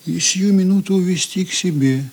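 A man acting a tender, longing man thinking aloud in a radio play, husky, intimate and unhurried. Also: high voice, darkish tone. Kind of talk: inner monologue in a radio play.

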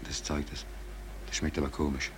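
A low-pitched, embittered merchant seaman in a 1940s radio drama. He broods and insists in halting phrases. The old recording carries a light layer of noise. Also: little pitch movement. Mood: hushed, uneasy. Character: embittered, hungry merchant seaman on a death ship. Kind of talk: 1940s German radio play acting.

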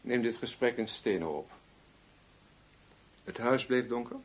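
An actor playing a zealous, accusatory public prosecutor in a Dutch radio play, probing and insistent. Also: male voice, mid-range voice, unhurried, husky. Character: sharp, zealous public prosecutor out to topple the federal police. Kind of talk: Dutch radio drama dialogue.

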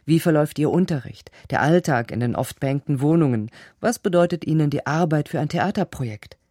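A woman's voice: deep voice, expressive, brisk, dark tone, no room sound, studio quality. A woman reading a scripted programme announcement as a radio announcer.

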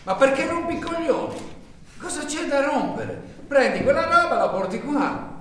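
A rude, bossy foreman, played by an actor who barks orders with a lively, swinging pitch. He is picked up from a distance on an echoey stage, with faint background hiss. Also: normal speed. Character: pushy male foreman bossing a new worker.

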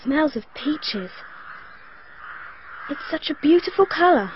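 A woman's voice: sits high, animated, takes it slowly, a little hiss or hum. An actress playing a dreamy, naive young girl in a radio drama, speaking in a wistful, childlike way. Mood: dreamy, wondering. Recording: dull lo-fi broadcast recording.

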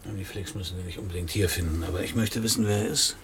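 An actor playing a cynical private detective in a crime radio play, laconic and sardonic with a gravelly voice. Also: male voice, sits low, fairly even intonation, fast, a little hiss or hum. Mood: terse, cool. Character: cynical, hard-boiled male private detective narrating his case. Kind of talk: first-person crime radio play narration and dialogue.

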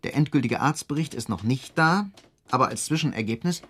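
An actor playing a dutiful police subordinate in a radio drama, reporting in a matter-of-fact, colloquial way. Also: male voice, medium pitch, expressive, brisk, fairly bright tone, close to an everyday voice. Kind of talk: German radio drama acting.

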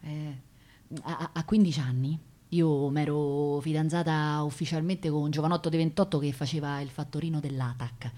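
An actress as a plainspoken young Roman working-class woman, lowish voice. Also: steady tone, normal speed, warm tone, natural voice. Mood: wistful, confiding. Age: young adult. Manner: colloquial, repeating words, emotional. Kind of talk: theatrical radio drama monologue.

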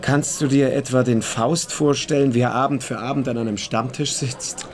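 An actor playing a calm, philosophical and superior young friend in a literary radio play. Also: male voice, mid-range voice, normal speed, some chatter behind, lightly acted. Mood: questioning, superior.

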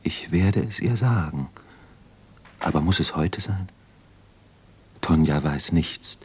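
Brooding doctor in love, very low voice, measured, reflective, earnest, 1950s radio drama. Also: male voice, a little mellow. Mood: troubled, hesitant.

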